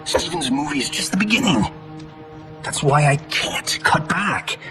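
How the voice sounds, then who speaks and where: An actor playing a cocky, wisecracking action movie star in a cinematic video game, flippant and showy. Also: male voice, a little high, lively intonation, unhurried, music in the background. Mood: defensive, insistent.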